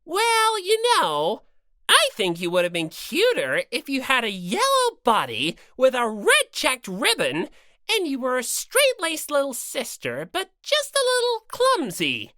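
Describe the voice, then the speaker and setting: A woman's voice: high-pitched, wildly swooping pitch, measured pace, pushed and bright, no room sound, studio quality, pitched above the performer's own voice, heavily acted. A woman voicing a mean, mischievous bear mascot in an audiobook, taunting in a theatrical, mock-sweet way. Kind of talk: dramatized audiobook character reading.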